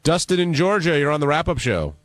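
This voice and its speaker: A man's voice: fairly high pitch, sing-song, brisk, noisy background. A man co-hosting a radio wrap-up show, steering the chat with short questions and taking calls.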